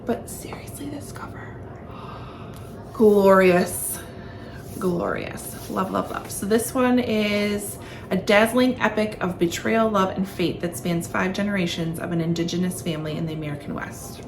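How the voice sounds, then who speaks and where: A woman's voice: medium pitch, a little slow. A woman with a mid-range voice chats to her viewers as a book reviewer. Her delivery is casual, informal and enthusiastic. The recording carries noticeable background noise. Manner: enthusiastic, informal, often gushing. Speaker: book reviewer hosting a video channel. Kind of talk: casual chatty book vlog. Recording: clearly audible background room noise.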